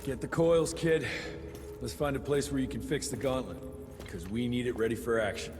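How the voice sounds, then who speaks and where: A man's voice: medium pitch, moderate pace, background noise, lightly acted. An actor playing a blunt, sardonic male monster hunter in a video game cutscene. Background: music score.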